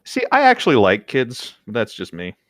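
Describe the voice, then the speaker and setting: A man's voice: medium pitch, wildly swooping pitch, normal speed, a bit bright, no echo, clean studio sound, soft background music. A man chatting casually and reading aloud in a group voice chat, with a rambling, hesitant way of talking. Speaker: co-reader on a group creepypasta reading show. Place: online voice chat.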